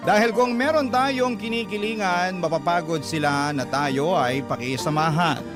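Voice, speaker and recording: High-pitched Filipino male storyteller over loud music. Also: normal speed. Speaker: radio host reading a listener's letter. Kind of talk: dramatic storytelling narration.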